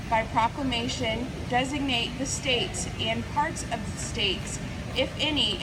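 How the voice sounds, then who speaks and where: A woman giving a formal read-aloud of an official proclamation. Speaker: reader at a celebration. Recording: very noisy recording, loud ambient rumble.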